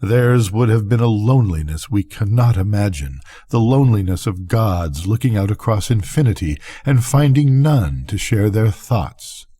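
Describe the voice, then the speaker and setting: An older man reading a bedtime story as scripted narration, with measured, deliberate phrasing. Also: on the low side, lively intonation, dark tone, husky. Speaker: reader of bedtime stories.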